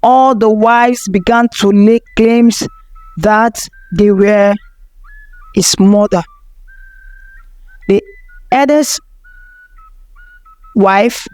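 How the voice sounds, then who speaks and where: A woman's voice: a little high, darkish tone, no room sound, rough recording. A woman narrating a folktale from a script as a storyteller.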